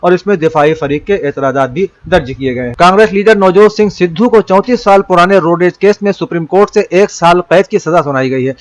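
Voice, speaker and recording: Higher-pitched male news reader. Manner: formal, measured read delivery. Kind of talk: scripted news bulletin narration in formal Urdu register.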